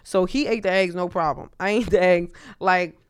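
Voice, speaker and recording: A woman with a New York accent and a deep voice, telling stories in a casual chat and acting out voices. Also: normal speed, smooth. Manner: animated storyteller acting out voices. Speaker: comedian, podcast host.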